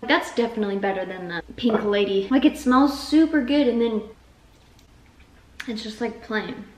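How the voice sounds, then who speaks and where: A woman's voice: a little high, normal speed. Chatty young woman in a roomy kitchen. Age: young adult. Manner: chatty, rambling, self-deprecating with lots of "like" fillers. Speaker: vlogger. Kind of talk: casual food vlog chat. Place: home kitchen, indoors. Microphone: camera on the counter, some distance away. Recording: roomy, distant camera mic with hiss.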